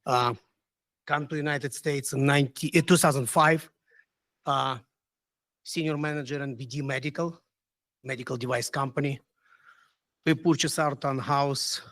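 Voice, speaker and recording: A man from Ukraine with a Ukrainian accent, speaking halting non-native English as a resident giving an earnest public comment. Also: on the high side, a bit bright, no echo.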